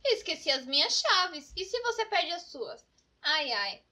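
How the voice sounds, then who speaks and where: A woman with a Brazilian accent voicing an excitable, scatterbrained best friend in a children's comedy cartoon, dramatic and full of exclamations. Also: very high voice, a little slow, full character voice. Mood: fretting. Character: excitable, scatterbrained, over-prepared young female best friend.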